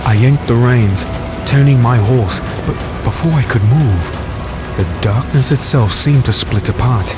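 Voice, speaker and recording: A man's voice: mid-range voice, unhurried, close to an everyday voice. A man playing a defiant swordsman hero in a fantasy audio drama, intense and dramatic as he fights and tells his tale. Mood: tense narration. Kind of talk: dramatic first-person narration and dialogue, fantasy audio drama. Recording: music behind the voice.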